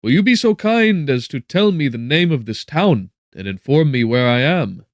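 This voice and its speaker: Man acting a desperate gentleman castaway, raised voice. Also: medium pitch, very animated, normal speed, no echo, studio quality, voice pushed up. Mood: polite pleading. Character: desperate shipwrecked gentleman scientist, courteous but anguished.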